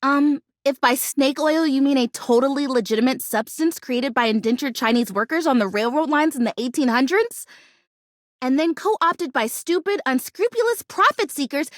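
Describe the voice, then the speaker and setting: A woman voicing an earnest, excitable houseplant who dreams of being real, as a video game character with dramatic, expressive delivery. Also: high-pitched, no room sound, clean studio sound.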